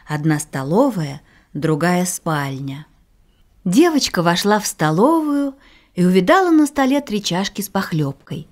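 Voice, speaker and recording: Animated woman reading a children's tale. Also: on the low side. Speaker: storyteller. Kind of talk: expressive children's fairy-tale narration.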